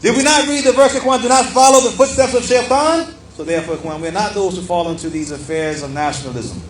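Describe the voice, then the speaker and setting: A man's voice: high voice, quick pace. A man giving an Islamic lesson, reading and explaining a text emphatically and repeating phrases for weight. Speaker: Islamic lecturer. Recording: echoey room with background noise.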